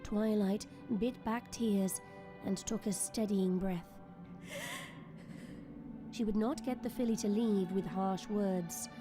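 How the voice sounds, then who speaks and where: A woman's voice: medium pitch, fairly even intonation, slow, long pauses. A woman reading scripted, dramatic story narration as the narrator of an audio drama. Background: soft music.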